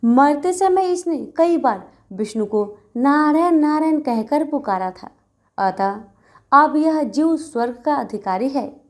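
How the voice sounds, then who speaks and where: A woman's voice: high-pitched, animated, slow pace. A woman narrating a scripted devotional story as a storyteller.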